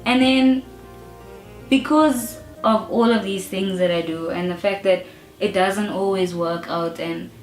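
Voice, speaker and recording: A young woman with a South African accent speaks her mind in a casual interview, firm and emphatic, with a steady "you know" running through her talk. Her voice sits at an ordinary pitch for a woman and rings in a roomy space, with faint music playing behind her. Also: measured pace. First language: English. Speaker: rap artist being interviewed.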